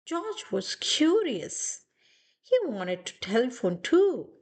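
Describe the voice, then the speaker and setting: A woman narrates a children's storybook at a slow, deliberate pace. Her voice sits fairly high and moves in wide, animated swings, giving the reading an expressive read-aloud feel. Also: breathy edge.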